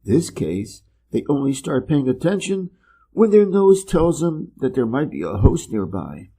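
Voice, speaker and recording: A man from Wisconsin with an American Midwest accent, reading an article slowly and carefully for English learners. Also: medium pitch, sing-song, dark tone. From Wisconsin, USA. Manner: measured and deliberate, clearly pronounced. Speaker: reader of an English learning channel. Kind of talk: slow, careful reading aloud.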